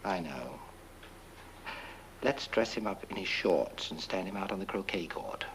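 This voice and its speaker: An actor playing a flippant, scheming lover in a horror-comedy film, with a sardonic, theatrical delivery. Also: male voice, low-pitched, animated, a little slow, fairly bright tone. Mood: gleeful, flippant. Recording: noisy old film soundtrack.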